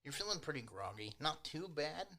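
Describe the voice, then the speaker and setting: A man's voice: on the high side, very animated, normal speed, bright, forceful tone. A man running a tabletop game as game master, narrating in an informal, improvised, chatty way. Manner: informal, chuckles while narrating.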